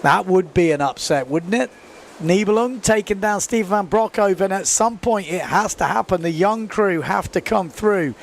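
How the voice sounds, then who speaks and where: A man giving live sports commentary, excitedly calling out scores and time left on the clock. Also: high-pitched, moderate pace, gravelly, slight background noise.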